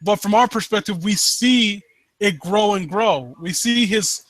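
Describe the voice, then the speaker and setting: A man hosting a live stream, reading and commenting on stories in a halting, rambling way and often rephrasing himself. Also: high-pitched, fairly bright tone, no echo. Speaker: live stream host reading and critiquing amateur horror stories. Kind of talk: story read-aloud and casual commentary.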